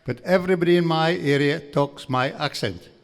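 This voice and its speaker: A man's voice: fairly high pitch, moderate pace. An older man from Aberdeenshire with a broad Doric Scottish accent, giving a jovial after-dinner lecture at a stand mic in a large hall. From Aberdeenshire, Scotland. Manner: jovial, folksy, reading from notes. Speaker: guest speaker. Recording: long hall reverb.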